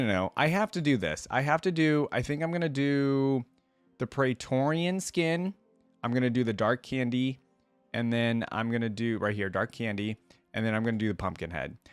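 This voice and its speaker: A man with a mid-pitched voice hosts a casual reaction video. He talks conversationally, thinking aloud as he goes between reading and commenting. The sound is close and dry, with very little room in it. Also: no echo. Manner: conversational, thinks aloud, asks viewers questions.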